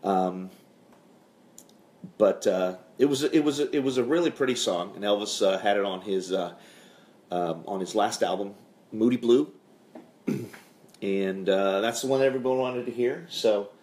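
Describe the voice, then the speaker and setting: A man's voice: lowish voice, a little slow, long pauses, a little mellow. A man hosting a livestream in casual chat, with a self-deprecating, hesitant and trailing way of talking. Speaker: show host and singer.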